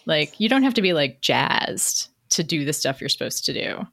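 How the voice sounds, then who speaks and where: A woman's voice: deep voice, sing-song, moderate pace, creaky, a bit bright. A middle-aged woman telling a personal story conversationally as an interview guest, reflective and self-deprecating, often checking in with "right?".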